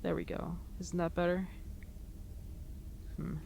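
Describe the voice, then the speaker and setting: Noisy recording, woman acting as an earnest young huntress in an audio roleplay, crackly voice, halting n emotional. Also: lowish voice, little pitch movement, creaky, warm tone, heavily acted. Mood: remorseful, then tender. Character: emotional young huntress reunited with her lost friend. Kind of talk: dramatic roleplay audio monologue. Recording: noticeable background noise.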